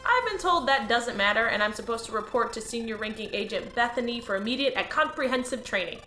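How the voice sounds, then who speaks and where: A woman's voice: fairly high pitch, expressive, fast talker, pushed and bright. A woman voicing a cheerful, naive male alien on his first day at work in an audio drama. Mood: eager. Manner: cheerful, upbeat, earnest. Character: eager naive male alien new hire. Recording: roomy echo, faint music.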